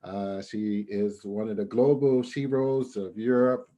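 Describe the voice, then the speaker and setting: Slow low-voiced man introducing a guest. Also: level tone, mellow. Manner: slow, friendly, with fillers. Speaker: host. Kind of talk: introducing a speaker.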